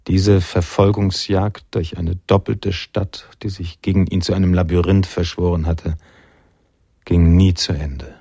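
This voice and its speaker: An actor playing a brooding film-noir narrator in a radio drama, reading scripted narration in a measured, grave manner. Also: male voice, deep voice, flat delivery, mellow, breathy edge, no echo, studio quality, exaggerated voice. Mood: somber. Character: brooding male film-noir narrator recalling his friend's escape.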